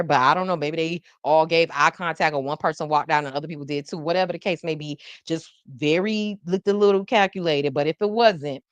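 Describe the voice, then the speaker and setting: A woman's voice: lowish voice, clear, resonant tone, no echo. A woman hosting a live news podcast, talking casually and playfully to her viewers in the chat. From South Florida. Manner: animated, playful, talks to the chat. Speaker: podcast host.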